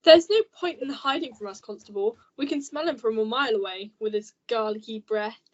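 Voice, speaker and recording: A woman's voice: a little high, a little fast, darkish tone, lightly acted. A woman playing a scornful, possessive jilted woman in a melodramatic radio play. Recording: dull lo-fi recording.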